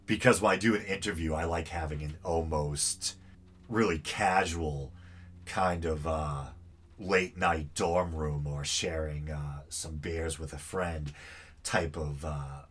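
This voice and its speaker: Low, husky-voiced man with a stuffy nasal sound, hosting a podcast, relaxed and meandering. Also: slow. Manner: rambling, self-deprecating, easygoing. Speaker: podcast host. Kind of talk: casual solo podcast monologue.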